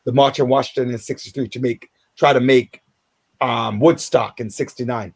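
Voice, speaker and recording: A Jamaican man in his fifties hosts a radio talk show. His voice is mid-pitched. He speaks haltingly and restarts often while he works through his questions. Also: steady tone, gravelly, husky. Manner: halting, many restarts and filler words. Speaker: radio host.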